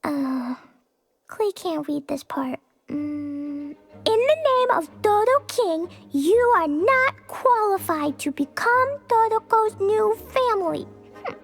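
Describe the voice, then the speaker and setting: Game casting: tiny stubborn, naive little girl, extremely high voice, slow childlike delivery, music underneath. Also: animated, full character voice. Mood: earnest, halting. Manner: childlike, speaks of self in third person. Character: small earnest girl, excitable, naive and stubborn. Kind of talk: video game cutscene acting. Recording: music behind the voice.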